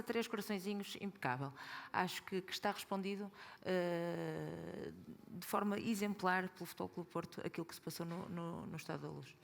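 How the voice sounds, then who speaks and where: A woman speaking as a panelist at a club event, measured and often restarting her sentences. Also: fairly low pitch, vocal fry, a bit bright, in a large hall.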